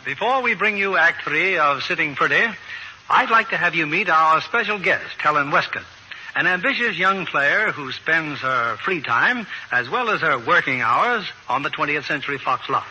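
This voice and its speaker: Man, old-time radio host, scripted interview, sharp bright tone, slightly high voice, mild noise. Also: expressive, normal speed, husky. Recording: noisy vintage radio recording.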